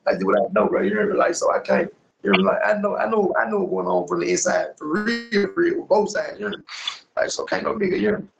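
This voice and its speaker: Gravelly young American man, slangy and emphatic. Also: sits high, huge pitch swings, fast, lo-fi sound, music in the background. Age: young adult. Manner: repetitive, emphatic street talk with frequent tag questions. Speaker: rapper being interviewed. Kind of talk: casual, slang-heavy video-call chat. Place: small indoor room, on a video call. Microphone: phone at arm's length on video call.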